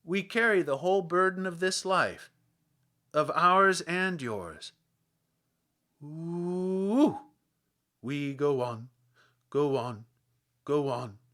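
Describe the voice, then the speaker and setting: A burdened, bitter wanderer from a literary tale, acted by a male reader. The voice is raised above plain speech and chants slowly in a rhythmic, declamatory lament, weary and insistent. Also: fairly high pitch, animated, bright, forceful tone, pitched above the performer's own voice, full character voice.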